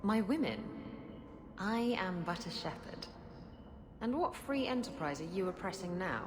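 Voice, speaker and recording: A woman's voice: lowish voice, very animated, a little slow, background noise. A woman playing a playful, unrepentant rogue in a video game, full of mock innocence. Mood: mock innocent.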